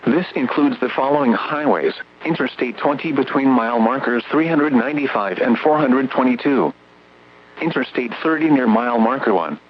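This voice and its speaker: A synthetic man's voice reading a formal, measured, scripted emergency weather bulletin as an automated announcer over weather radio. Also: mid-range voice. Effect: weather radio broadcast. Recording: muffled weather radio, hum and static.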